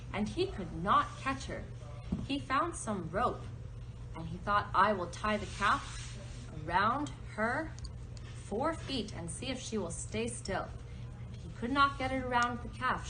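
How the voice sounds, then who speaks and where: A woman telling a children's story live at a puppet show, with simple, descriptive phrasing and repetition. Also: on the high side. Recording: noisy live recording.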